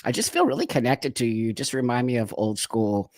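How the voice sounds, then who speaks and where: A man's voice: medium pitch, sing-song, fairly quick, a little mellow, no echo, studio quality. A man hosting a casual live stream, effusive and rambling, chatting over a video call. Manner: effusive, rambling, laughs often. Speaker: host.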